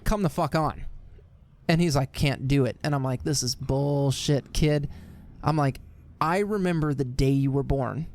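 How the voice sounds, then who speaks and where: A man in his late twenties with a high voice, co-hosting a podcast and telling profane stories in casual chat. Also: a little slow. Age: late 20s. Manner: loud storytelling, swears often, acts out quotes. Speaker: podcast co-host.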